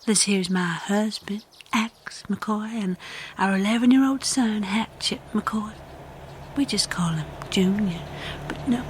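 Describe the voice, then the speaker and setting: A woman's voice: medium pitch, slow pace, a little hiss or hum, lightly acted. A woman playing a flirtatious rural Southern wife and mother in a comedic western audio drama, coy and folksy, with a Southern US accent. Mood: coy, welcoming.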